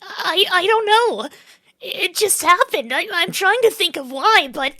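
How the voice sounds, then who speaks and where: A woman's voice: very high voice, lively intonation, a little slow, pushed and bright, dry recording, heavily acted. A woman in a fan dub playing an anxious, earnest young royal with a stammering, emotional delivery. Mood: scared, upset.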